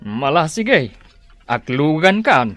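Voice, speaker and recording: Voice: mid-range voice, big pitch swings, slow, a bit bright, faint music behind. An actor playing an indignant older male tricycle driver in an Ilocano radio drama comedy, protesting emphatically. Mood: easygoing.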